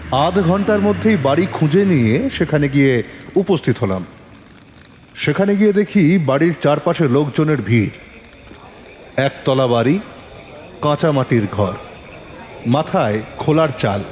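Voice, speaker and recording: A male storyteller reads scripted first-person fiction in a fairly high voice. His delivery is dramatic and very animated in pitch. A faint layer of outdoor urban ambience with traffic sits underneath. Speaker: narrator and lead actor of an audio story.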